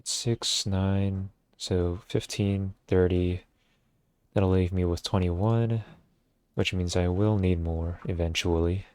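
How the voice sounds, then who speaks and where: A man giving casual, low-key gameplay commentary, thinking aloud as he livestreams. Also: fairly even intonation, takes it slowly, a little mellow, clear, resonant tone, no echo.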